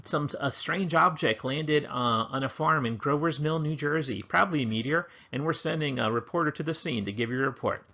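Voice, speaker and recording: A man's voice: on the high side, fairly quick, few pauses, sharp tone, lo-fi sound. A man teaching history in an informal, chatty, enthusiastic online lesson style with slangy phrasing. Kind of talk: casual explanatory online lesson.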